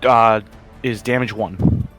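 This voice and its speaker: A man's voice: lowish voice, fairly even intonation, takes it slowly, fairly bright tone, soft background music. A man chatting casually as a player in a tabletop roleplaying game, with a wry, grumbling manner.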